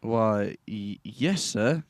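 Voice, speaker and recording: An actor playing a flustered, courteous gentleman in a radio play, formal and stammering. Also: fairly low pitch, lively intonation, drawn-out words. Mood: taken aback. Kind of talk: old-time radio play acting.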